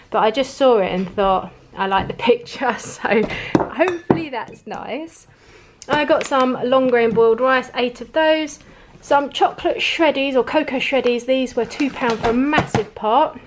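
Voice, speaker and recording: A woman's voice: fairly high pitch, a little hiss or hum. A woman in a casual, rambling grocery haul chat, laughing now and then while showing off her shopping at home. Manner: chatty, rambling, laughs often. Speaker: vlogger. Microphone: camera pointed at the table, speaker off screen.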